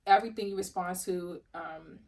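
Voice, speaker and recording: A woman of twenty-five giving earnest, measured advice in casual talk. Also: on the low side, darkish tone, distant mic. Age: 20s. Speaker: interviewee.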